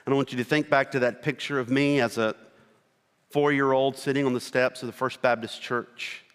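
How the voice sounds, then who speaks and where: A middle-aged man with a rural American accent preaching a sermon as a missionary, earnest and slow, with pauses between short phrases. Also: medium pitch, steady tone, a bit bright, clean tone. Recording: long reverb of a large hall.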